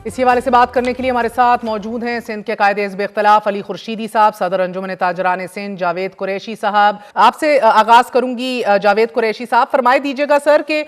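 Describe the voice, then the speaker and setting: A woman's voice: fairly high pitch, steady tone, brisk, few pauses, soft background music. A woman, hosting a news talk show, delivering scripted commentary with indignant, pointed rhetorical questions.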